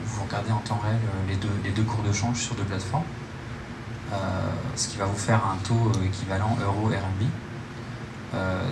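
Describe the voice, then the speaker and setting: A young man answers questions on stage in a low voice with very little melody. His phrasing is halting and informal. The hall is strongly echoey around him. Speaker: startup presenter answering audience questions. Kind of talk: informal Q&A presentation. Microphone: handheld microphone, recorded by camera in room. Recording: echoey hall, loud room noise.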